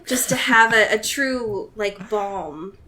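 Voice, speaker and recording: A woman's voice: mid-range voice, animated, slow pace, a crowd in the background. A young woman co-hosting a podcast, teasing and joking casually, often laughing and now and then swearing. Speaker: podcast co-host.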